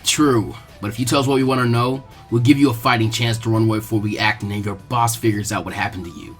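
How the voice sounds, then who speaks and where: A man voicing a cold, stoic, calculating ninja boy with a dry deadpan delivery in a story reading. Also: on the low side, fast, soft background music, pitched below the performer's own voice, close to an everyday voice. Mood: cold threat.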